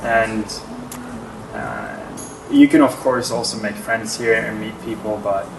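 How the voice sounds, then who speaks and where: A man's voice: fairly low pitch, fairly even intonation, measured pace, noisy background. A man from Norway, a native Norwegian speaker, giving easygoing, earnest interview answers as an actor. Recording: roomy, distant mic, music underneath.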